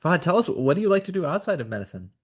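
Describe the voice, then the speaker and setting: A man's voice: a little high, sing-song, rapid-fire. A man hosting an online case conference, upbeat and chatty with many fillers, over a video call. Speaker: host.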